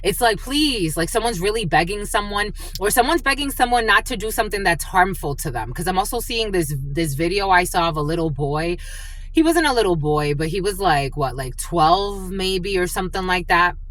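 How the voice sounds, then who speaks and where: A woman's voice: on the low side, expressive, moderate pace, fairly bright tone, slight background noise. A woman whose first language is Spanish and whose family is from the Dominican Republic. She is a spiritual channel who opens with a prayer and then chats her way through a tarot reading with many fillers. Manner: chatty and rambling, with frequent fillers.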